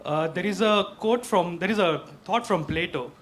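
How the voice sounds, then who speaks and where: A man's voice: high-pitched, normal speed, a bit bright. A man politely and hesitantly asking a question from the audience at a talk.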